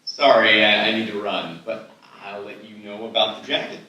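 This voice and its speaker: A man's voice: on the low side, flat delivery, moderate pace, pushed and bright. An actor playing an awkward, scheming young man smitten with his neighbor, in a live screenplay table read. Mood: awkward, evasive. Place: live festival reading in an echoey room. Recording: echoey hall, distant mic, faint noise.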